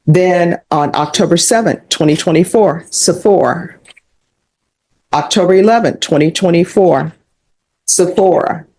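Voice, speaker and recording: A woman's voice: fairly low pitch, measured pace, dark tone, rough recording. An American woman speaking as a judge in court, questioning and ruling in a firm, formal, matter-of-fact way.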